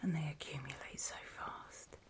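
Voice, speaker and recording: A woman playing a grave, weary apocalypse survivor in a horror ASMR roleplay, speaking in a soft, breathy, hesitant whisper. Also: low voice, fairly even intonation, moderate pace, close to an everyday voice. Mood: weary, ominous. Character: grave, weary female apocalypse survivor briefing others on zombies.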